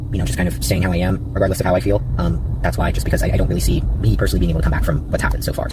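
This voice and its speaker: A man with a very low, deep voice rushing through halting, "um"-filled thoughts. He sounds set back from the mic, with clear vehicle noise behind him. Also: steady tone, distant mic. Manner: halting, many "um"s. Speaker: person in a played clip. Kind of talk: personal vlog.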